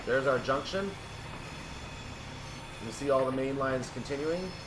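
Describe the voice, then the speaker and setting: A man's voice: mid-range voice, slow, noisy background. A man giving explanatory, methodical gameplay commentary, thinking aloud as he plays a train simulator. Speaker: video game streamer playing a train simulator.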